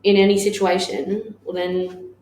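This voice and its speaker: A woman in her thirties, a business owner co-hosting a casual podcast chat, blunt and quick to swear and laugh. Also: mid-range voice, flat delivery, a little mellow. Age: 30s. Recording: echoey room with faint noise.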